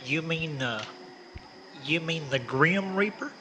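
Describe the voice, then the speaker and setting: A man's voice: a little high, expressive, slow, sharp tone, exaggerated voice. Man playing folksy Southern country fellow, noisy recording. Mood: uneasy, placating. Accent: rural Southern American. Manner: drawling, folksy, stammers when uneasy. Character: folksy, stubborn, kindly country man investigating hauntings. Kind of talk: old-time radio comedy acting. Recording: noisy old-time radio style recording.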